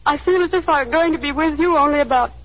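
An actress playing an anxious, proud young woman in despair, pleading and halting in a 1930s radio drama style. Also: high voice, close to an everyday voice. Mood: resigned, despairing. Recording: scratchy, muffled 1930s radio broadcast.

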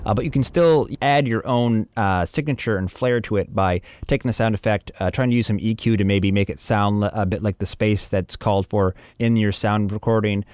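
A man's voice: lowish voice, fairly quick, hardly any pauses, darkish tone, clear, resonant tone, no room sound, studio quality. A man giving informal, chatty instruction as an audio drama producer, with wry humour.

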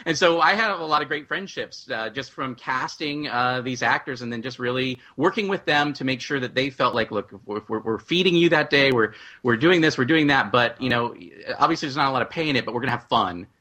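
A man with a bright, forward voice at an ordinary male pitch, chatting as a producer on a panel. He explains things like a storyteller, animated and repeating his openings as he goes. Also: brisk, hardly any pauses. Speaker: audio drama writer-producer, panel guest. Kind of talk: casual panel discussion.

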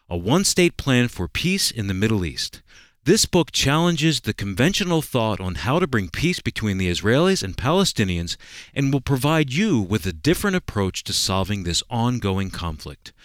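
A man's voice: lowish voice, no room sound, studio quality. A man reading scripted promotional narration as a radio announcer.

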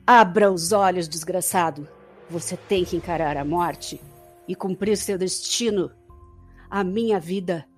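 A woman's voice: fairly low pitch, measured pace, breathy edge, soft background music. A woman playing a bitter, defiant young captive in an audio drama, with a scornful, dramatic delivery. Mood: defiant, commanding.

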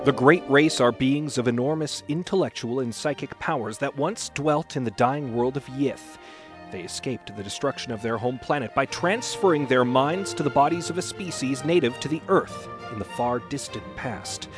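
A man's voice: mid-range voice, moderate pace, music in the background. A man reading prepared scripted material aloud as a podcast presenter. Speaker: podcast host, ad reader and dramatic reader.

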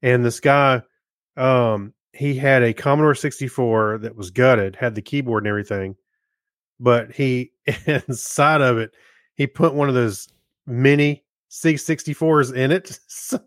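A man's voice: medium pitch, a little slow, dry recording, studio quality. A man co-hosting a podcast in a casual, easygoing, conversational way. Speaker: podcast co-host. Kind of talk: casual chat.

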